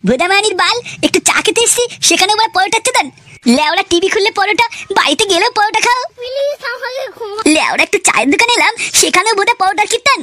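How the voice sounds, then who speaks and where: A very high, pitched-up cartoon voice of a foul-mouthed young man. He rants and swears at great speed, sharp and bright, always fed up and ready to boil over. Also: expressive, fast, exaggerated voice. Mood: furious. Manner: ranting, swearing constantly. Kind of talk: comedy cartoon dialogue.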